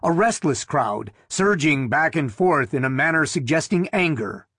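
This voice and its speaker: A man's voice: fairly high pitch, animated, normal speed, fairly bright tone, slightly rough, dry recording, studio quality, exaggerated voice. A man voicing a formal, courteous male TV news announcer in an audiobook.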